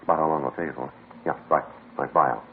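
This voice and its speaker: A man's voice: extremely low pitch, steady tone, fast talker, a little hiss or hum. An actor playing a terse police sergeant who narrates his case in a clipped, matter-of-fact way, in a 1950s radio drama. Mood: businesslike. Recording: tinny old radio broadcast with music.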